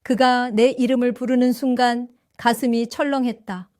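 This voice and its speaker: An older woman narrating her own story in an audio drama, calm and reflective. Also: a little high, normal speed, no room sound, clean studio sound. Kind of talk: scripted first-person audio drama narration.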